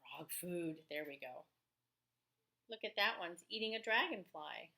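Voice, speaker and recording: A woman, an elementary teacher reading aloud to children with expressive, chatty asides. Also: fairly low pitch, unhurried. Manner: expressive, chatty, exclaims to young listeners. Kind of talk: read-aloud lesson for children with casual asides.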